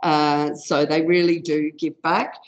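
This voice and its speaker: Lady presenter w/ a big voice, lots of ums, kinda lower pitched. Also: level tone, slow pace. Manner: informal, many fillers, loud-voiced. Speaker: conference presenter, software product specialist. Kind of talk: conference talk. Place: conference room.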